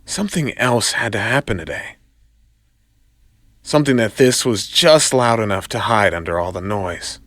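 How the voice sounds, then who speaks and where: Man acting young wisecracking superhero, lively swings. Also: lowish voice, normal speed, no room sound. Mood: tense, calculating. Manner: quippy, talking to himself. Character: young wisecracking male superhero, quick-thinking and earnest. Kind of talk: audio drama acting.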